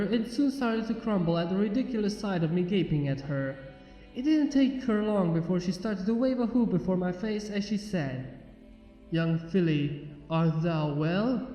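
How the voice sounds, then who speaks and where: A man narrates a scripted story in a high, soft-edged voice. The sound is dulled and swims in long reverb, with music underneath. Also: highs cut off. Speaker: fan fiction narrator.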